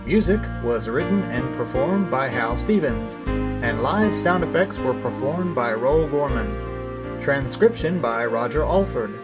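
A man's voice: mid-range voice, expressive, unhurried. A man announcing the closing credits of a radio drama in a scripted style. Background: plucked guitar music.

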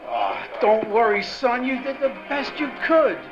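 A man's voice: very high-pitched, a little slow, muffled recording, music in the background. An actor playing a hearty, fatherly newspaper boss in an old-time radio drama. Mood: consoling. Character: hearty, fatherly male newspaper boss praising his reporter.

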